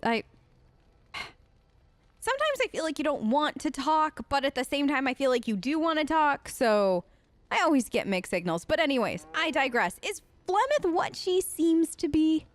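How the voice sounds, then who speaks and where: A woman with a high voice, recorded close and dry. She role-plays her game character as a let's play host, talking in a casual, rambling, hesitant way. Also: sing-song, moderate pace, dry recording. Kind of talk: improvised in-character game dialogue, casual chat.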